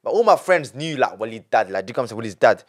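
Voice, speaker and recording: Animated young Londoner telling stories. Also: male voice, mid-range voice, quick pace. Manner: animated, repeats himself, heavy street slang. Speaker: young entrepreneur guest. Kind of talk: casual podcast storytelling.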